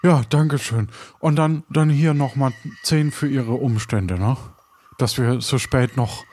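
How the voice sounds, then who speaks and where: A man's voice: mid-range voice, slow, a little mellow, close to an everyday voice. Man playing hesitant, earnest pirate adventurer. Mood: hesitant, polite. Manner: hesitant, polite, tag questions. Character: Sören, earnest, awkward young male pirate adventurer. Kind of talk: improvised audio drama. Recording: faint background noise.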